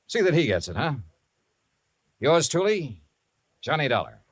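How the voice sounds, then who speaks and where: An actor playing a wry, kindly insurance investigator in 1950s radio drama style. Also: male voice, fairly high pitch, sing-song, unhurried, background noise. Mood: dry, kindly. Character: wry, kindly hard-boiled insurance investigator narrating his case.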